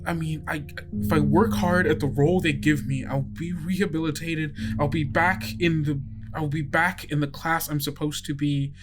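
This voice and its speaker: A man's voice: on the high side, steady tone, moderate pace, over music, close to an everyday voice. A man voicing a hesitant, anxious schoolgirl in a tabletop game, stammering and pleading. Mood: insistent, anxious. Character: hesitant, anxious schoolgirl slowly growing deer antlers.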